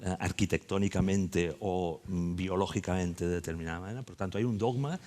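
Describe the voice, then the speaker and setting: Male speaker, low-pitched, explanatory conference talk, restates his terms and checks in with short tags. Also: brisk, warm tone. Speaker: panelist at a congress. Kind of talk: explanatory panel discussion talk.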